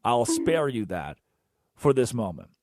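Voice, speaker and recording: A young man hosting a sports radio show, animated and jokey, rambling through asides in a studio. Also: mid-range voice, measured pace, no echo. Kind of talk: energetic sports talk radio. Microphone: studio microphone.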